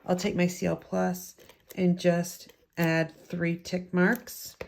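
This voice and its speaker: A woman with a raspy voice from a lingering head cold, from the United States, chatting through a step-by-step card-making tutorial in her craft room at home. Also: on the low side, flat delivery, slow, slight background noise.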